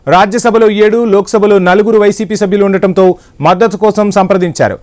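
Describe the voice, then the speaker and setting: A male news anchor, reading breaking political news in a brisk, formal broadcast manner. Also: high voice, steady tone, darkish tone.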